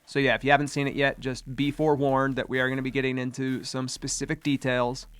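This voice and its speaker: A chatty, upbeat man talks through a casual commentary in a smooth, resonant voice that still sounds slightly congested. He rambles along with the odd filler at a mid pitch. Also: monotone, fast, fairly bright tone, no echo. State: slightly congested, recovering from a sinus infection. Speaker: host.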